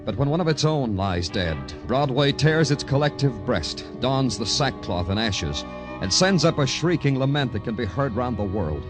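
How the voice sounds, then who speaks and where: A man's voice: medium pitch, animated, moderate pace, over music, lightly acted. A man playing a sardonic, world-weary police detective in a 1950s radio noir drama, with clipped, dry delivery. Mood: brooding narration. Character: hard-boiled, sardonic male Broadway police detective. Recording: old radio drama broadcast.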